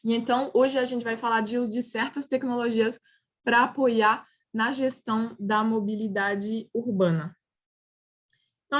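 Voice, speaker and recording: A native French woman presents in a semi-formal way with a French accent. Her voice is a bit high, and she speaks haltingly, searching for words. She is on a video call. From France. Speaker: company manager presenting as guest speaker.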